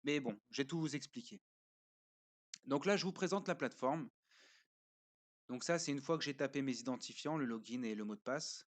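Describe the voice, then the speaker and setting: Guy doing a chill review, mid pitch, lots of euh and pauses, walks you through it step by step. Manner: hesitant, pauses often with fillers; explains step by step while showing a screen. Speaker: parent reviewing a learning platform. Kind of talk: casual spoken review.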